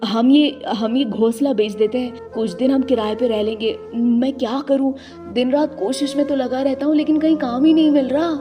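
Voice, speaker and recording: A woman's voice: sits high, steady tone, normal speed, warm tone, faint music behind, lightly acted. A woman voicing a troubled, proud young pigeon husband in a cartoon story, earnest and defensive.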